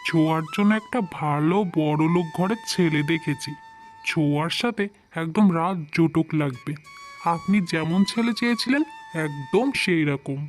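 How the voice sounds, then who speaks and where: A woman's voice: low voice, lively intonation, normal speed, faint music behind. A woman voicing an eager, coaxing male village matchmaker in a moral-story cartoon.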